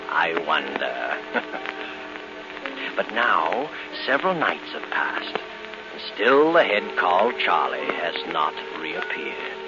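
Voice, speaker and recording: A man playing a sinister, gloating narrator of a 1940s radio thriller, telling the tale in a slow, teasing, suspenseful way. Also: sits low, heavily acted. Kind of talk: 1940s radio acting, second-person storytelling. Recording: muffled old 1940s radio recording with music.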